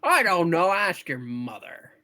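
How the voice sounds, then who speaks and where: Man acting a hammy, animated, frustrated family father. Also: high-pitched, a little slow, heavily acted. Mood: exasperated. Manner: hammed-up, exasperated, stumbling reading. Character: frustrated family father, sharp-tempered, weary, loving. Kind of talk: live comedic radio play reading.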